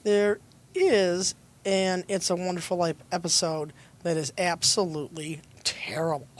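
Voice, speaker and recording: A woman's voice: fairly low pitch, steady tone, a little slow. A woman with an opinionated, plain-spoken way of talking, reading conversationally in an audio play.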